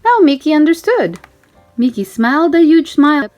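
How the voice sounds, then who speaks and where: Female storybook reader for young children: high voice, very expressive, swooping delivery, emphatic storytelling. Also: moderate pace, faint music behind. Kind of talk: expressive children's read-aloud narration.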